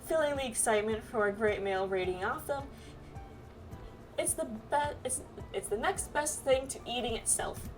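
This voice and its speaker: A woman's voice: a little high, lively intonation, moderate pace, far from the mic, faint music behind, natural voice, rough recording. A woman voicing a bubbly, teasing bodiless companion spirit who loves food, in a game. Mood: eager. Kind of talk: voiced game dialogue.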